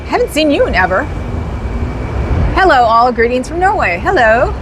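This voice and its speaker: A woman's voice: high voice, sing-song, normal speed, background noise. A woman hosting a casual livestream, chatting with viewers in a rambling way and laughing often. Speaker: livestream host.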